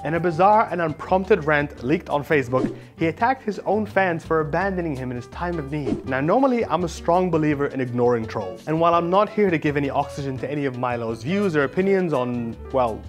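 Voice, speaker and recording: Male host, higher pitch, sarcastic, scripted snarky commentary, light music bed. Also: expressive, fairly quick, few pauses.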